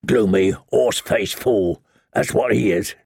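A man voicing a bitter, spiteful dog handler in a low, sneering growl. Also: measured pace, vocal fry, darkish tone, breathy edge, no echo, clean studio sound, heavily acted.

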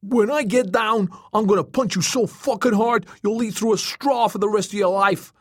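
A man's voice: fairly high pitch, animated, a little slow, dry recording, clean studio sound, voice pushed up. A man voicing an angry, foul-mouthed young man who threatens and curses in an audiobook. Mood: furious, shouting. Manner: shouting, threatening, cursing. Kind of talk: audiobook character voice.

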